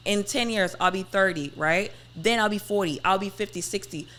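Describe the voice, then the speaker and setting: A woman's voice: mid-range voice, normal speed, a bit bright, a little hiss or hum. A woman in her twenties chatting on a podcast, arguing her point in a pointed, insistent way. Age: 20s. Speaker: podcast host. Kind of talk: casual debate chat.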